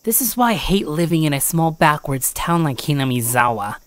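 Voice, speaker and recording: A woman's voice: sits low, big pitch swings, fairly quick, dry recording. A woman voicing a bored, whiny fourteen-year-old boy in a scripted audio drama.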